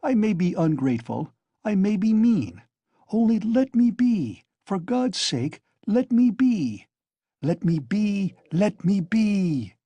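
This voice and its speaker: A man's voice: fairly high pitch, sing-song, moderate pace, mellow, breathy edge, dry recording, pitched above the performer's own voice, heavily acted. A man reading an audiobook, voicing a feverish, bitter young male student who taunts with venom. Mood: exasperated.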